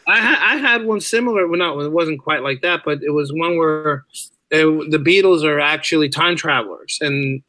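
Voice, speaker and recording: A man with a bright, forceful and fairly high voice talks as a guest on a podcast. His style is casual and conversational, and he repeats words to drive a point home. The recording is dry and close. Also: normal speed, no echo. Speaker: podcast guest, writer and audio drama creator. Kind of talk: casual interview chat.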